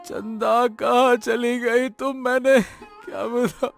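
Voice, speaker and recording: A poor woodcutter husband full of remorse , acted by a man. He wails melodramatically in a high voice at a slow pace, in a cartoon tale with soft music behind. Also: level tone, gravelly, full character voice. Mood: grieving, remorseful. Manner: wailing, repetitive, melodramatic.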